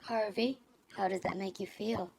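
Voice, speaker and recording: A woman's voice: mid-range voice, fairly even intonation, moderate pace, gravelly, a little mellow, slight background noise. An actress playing a sultry, teasing lounge singer and probing confidante in a noir audio drama, arch and playful in her delivery. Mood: probing, hushed.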